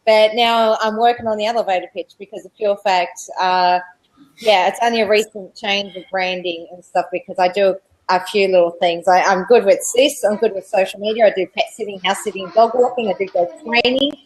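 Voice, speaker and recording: A woman's voice: medium pitch, fairly even intonation, a little fast, low-quality recording. Bubbly giggly Australian woman. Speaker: guest. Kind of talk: casual chat.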